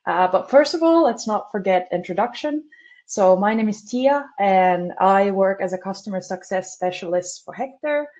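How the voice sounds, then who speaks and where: A woman from Finland, a customer success specialist, giving a measured webinar presentation with frequent "uh" fillers. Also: medium pitch, lively intonation, darkish tone, lo-fi sound.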